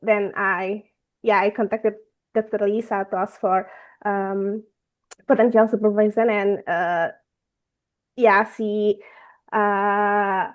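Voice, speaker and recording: A woman's voice: mid-range voice, fairly even intonation, slow pace, long pauses, lo-fi sound. A woman with an Indonesian accent introducing herself politely and hesitantly over a thin-sounding video call. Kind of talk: informal self-introduction.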